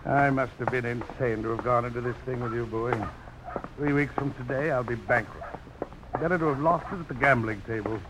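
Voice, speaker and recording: A male actor plays a proud general who sees himself as a gentleman. He is quick to indignation and formal in his words, at a middle pitch. The vintage radio drama carries a faint layer of noise. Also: natural voice. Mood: bitter, indignant. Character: proud, hot-headed gentleman general fond of gambling. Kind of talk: 1950s radio drama acting. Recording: noisy old radio broadcast.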